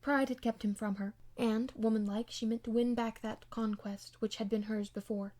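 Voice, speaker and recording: Amateur audiobook narrator, woman, fairly high-pitched, scripted storytelling of period fiction. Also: steady tone, moderate pace, a little mellow.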